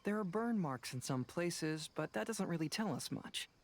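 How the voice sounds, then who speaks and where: A woman voicing a composed young female investigator in a video game, reasoning deliberately step by step over music. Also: lowish voice, expressive, a crowd in the background, natural voice. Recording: music behind the voice.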